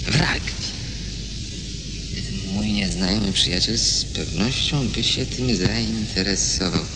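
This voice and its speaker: A man's voice: on the low side, takes it slowly. An actor in a radio play voicing a curious, nervous man with a polite, hesitant manner. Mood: intrigued. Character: nervous, curious male newspaper reader, politely evasive. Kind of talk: 1980s Polish radio play acting. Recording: very noisy radio play recording.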